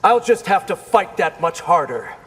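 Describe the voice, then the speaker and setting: An actor playing a plucky, wry young ninja hero in a fighting game, earnest with light humour. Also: male voice, sits high, very animated, moderate pace, loud music behind the voice. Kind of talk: video game fight dialogue. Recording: long reverb, very noisy game audio.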